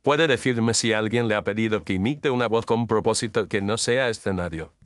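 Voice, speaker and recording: A man playing a calm, incisive detective in an audio drama, speaking in a measured, analytical way. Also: fairly low pitch, dry recording, natural voice. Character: calm, incisive male detective, precise reasoner.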